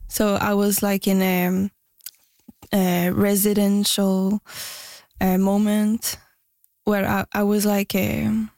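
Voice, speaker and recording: Shy woman in her 30s, halting answers w lots of "like", dry close sound. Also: medium pitch, level tone, no room sound. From Quebec, Canada. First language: French and Spanish. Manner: soft-spoken, hesitant, many fillers and restarts. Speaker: musician guest. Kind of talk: interview answers.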